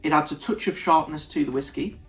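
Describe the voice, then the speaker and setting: A young man reviews whisky in a relaxed, thinking-aloud way, breaking off and restarting his sentences. His voice sits a little high for a man and sounds nasal and blocked up. Soft music plays underneath. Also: brisk. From United Kingdom. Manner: thoughtful, stops and restarts mid-sentence. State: nasal, congested. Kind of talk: casual tasting review to camera.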